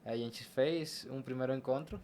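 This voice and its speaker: A man's voice: medium pitch, moderate pace, darkish tone, slight background noise. A young adult man with a Brazilian Portuguese accent, a podcast guest telling personal stories casually and acting out what was said. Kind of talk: casual personal storytelling in an interview.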